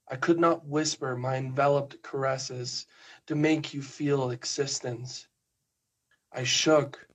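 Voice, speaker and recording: A man from Spain with a Spanish accent, a guest poet who chats hesitantly and reads his poems slowly and softly. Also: mid-range voice. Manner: hesitant, soft-spoken, slow measured reading. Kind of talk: casual chat and poetry reading.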